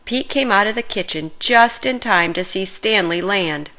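A woman reading a children's story aloud in an expressive, animated way, with deliberate phrasing for young listeners. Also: medium pitch. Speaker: storybook reader.

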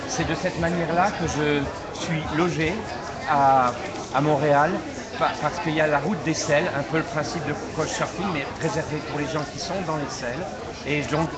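A man's voice: a little high, fairly even intonation, fairly quick. A man explaining at a workshop, hesitant with restarts. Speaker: workshop participant. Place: outdoor park gathering. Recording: loud crowd behind.

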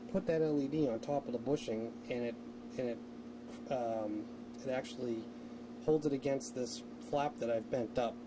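A man's voice: mid-range voice, noisy background. A man giving an informal hands-on electronics tutorial, explaining things unscripted and thinking aloud as he works. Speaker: electronics hobbyist demonstrating a repair mod. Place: indoor home workshop bench.

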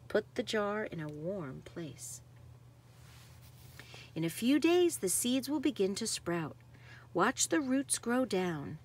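Woman, mid-pitched, slightly husky, expressive up-and-down delivery, teacher-style picture book read-aloud, emphasis on key words.

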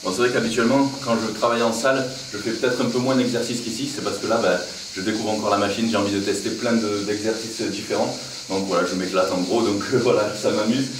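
Low-voiced man, echoey and distant. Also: fairly even intonation, fast. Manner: informal, conversational. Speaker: fitness vlogger. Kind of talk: casual vlog chat about training and diet. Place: home: open living area and garage-like home gym. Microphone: camera mic at a distance. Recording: echoey, distant camera mic with background noise.

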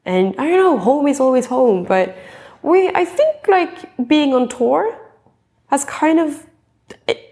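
A woman in her twenties from Norway, a singer-songwriter talking in a relaxed interview, earnest and chatty, with fillers and restarts. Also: high-pitched, slow. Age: 20s. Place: dim indoor room, seated in an armchair.

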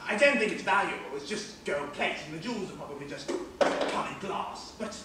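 A man's voice: high-pitched, lively intonation, a little fast. A man acting a formal, haunted old war veteran in a stage play, stammering, earnest and stiffly polite. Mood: solemn. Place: large theatre stage, recorded from far off. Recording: echoey distant theatre recording with hiss.

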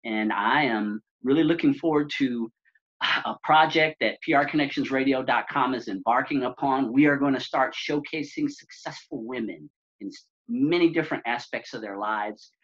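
A middle-aged man hosting a podcast interview over a video call, with a measured, polished delivery. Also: mid-range voice, fairly bright tone. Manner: measured, polished, some fillers. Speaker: podcast host. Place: office room with plain wall. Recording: dull lo-fi call audio.

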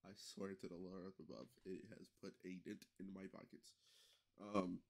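Low-voiced young man rambling on stream. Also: little pitch movement, moderate pace, vocal fry. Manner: rambling, loose, many fillers and restarts. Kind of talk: casual live-stream chat. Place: small bedroom streaming setup indoors. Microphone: headset.

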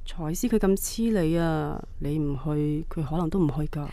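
A woman playing a devoted, soft-hearted middle-aged wife and daughter-in-law in a radio drama, tender and deferential. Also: sits low, moderate pace, no room sound. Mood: coaxing.

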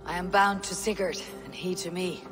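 A woman's voice: on the low side, steady tone, moderate pace, close to an everyday voice. A woman acts as a proud young Viking warrior in a video game. She is resolute and loyal, speaking in terse, formal phrases. Faint music sits behind her. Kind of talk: dramatic video game acting.

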